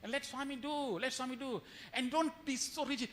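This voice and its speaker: A man's voice: very high voice, fairly quick, a bit bright. A man giving a devotional lecture as a retreat speaker, telling stories with acted-out quotes and rhetorical questions and repeating phrases for emphasis.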